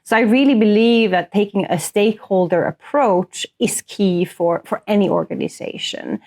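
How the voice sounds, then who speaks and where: A woman's voice: medium pitch, moderate pace, darkish tone. Earnest woman from northern Sweden. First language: Swedish. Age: 30s to early 40s. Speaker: corporate sustainability executive, interview guest. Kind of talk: conversational interview answers.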